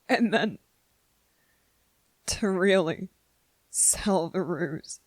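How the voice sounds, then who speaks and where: A woman playing an anxious, self-doubting, gentle young male soldier in a scripted ASMR roleplay monologue, speaking softly and haltingly with a husky voice. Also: mid-range voice, no room sound, rough recording. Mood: hurt, doubting. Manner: hesitant, halting, with repeated phrases and pauses.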